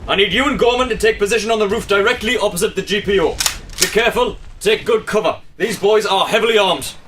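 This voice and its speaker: A man's voice: high-pitched, fast talker, background noise, natural voice. A man playing a commanding army sergeant in a radio play. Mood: brisk, commanding. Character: commanding army sergeant giving orders in 1916 Dublin.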